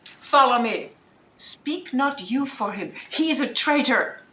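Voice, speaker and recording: Imperious, scheming sorceress mother played by a woman: highish voice, unhurried stern delivery, Shakespearean audio drama, roomy sound. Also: expressive, pushed and bright, full character voice. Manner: measured, imperious. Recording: echoey room, online home recording.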